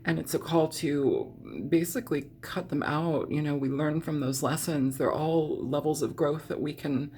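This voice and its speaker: A woman reading tarot in a chatty, meandering way, hoarse and scratchy from a cold, often clearing her throat. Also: low voice, steady tone, moderate pace, hardly any pauses, warm tone. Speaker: tarot reader. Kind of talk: casual tarot reading monologue.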